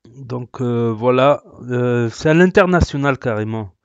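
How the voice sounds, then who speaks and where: A man's voice: medium pitch, lively intonation, a little slow, smooth, no echo, dull audio. A man hosting a reaction video, sarcastic and rambling, with many hesitations. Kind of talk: casual reaction commentary.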